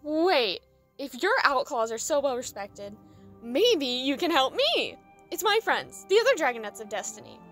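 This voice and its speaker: A woman's voice: sits high, very animated, normal speed, a bit bright, soft background music, full character voice. A woman playing an earnest, anxious young female dragon in an audio drama, speaking with eager urgency. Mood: hopeful.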